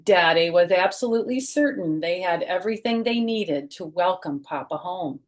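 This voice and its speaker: A woman's voice: lowish voice, animated, moderate pace. A middle-aged woman reading a picture book expressively to children, adding chatty asides, recorded at home. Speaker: children's storytime reader. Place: small furnished room at home.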